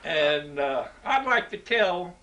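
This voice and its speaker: A man's voice: fairly high pitch, big pitch swings, slow, bright, forceful tone. A man, chatting and chuckling as he tells local memories. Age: 80s. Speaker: group member telling local memories. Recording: noisy amateur recording.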